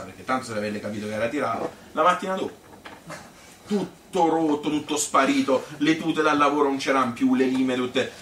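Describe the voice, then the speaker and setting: A man's voice: medium pitch, fairly bright tone, natural voice. An actor playing a sociable, wry Tuscan man telling rambling, colloquial anecdotes about his own life in a theatrical monologue. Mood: amused. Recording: roomy, distant mic with faint noise.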